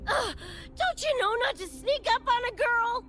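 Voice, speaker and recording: A woman's voice: extremely high pitch, expressive, a little slow, loud music behind the voice. A woman playing a brash, scrappy young cyberpunk gang member in a game, with cocky, slangy talk and a wistful side. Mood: startled. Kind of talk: animated game character dialogue.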